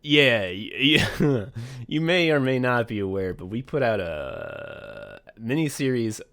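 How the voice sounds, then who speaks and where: A man's voice: medium pitch, very animated, measured pace, creaky, fairly bright tone. A young man co-hosting a casual podcast, rambling loosely, laughing often and swearing freely. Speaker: podcast co-host.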